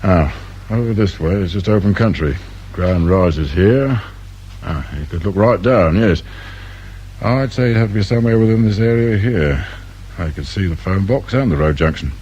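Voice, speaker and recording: Low-voiced, brusque English police inspector. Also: male voice, expressive, normal speed, darkish tone, highs cut off. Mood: dry, irritable. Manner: brusque, dry, grumbling. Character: dry, brusque male detective inspector, middle-aged. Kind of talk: naturalistic British radio drama acting. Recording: clear background noise and ambience.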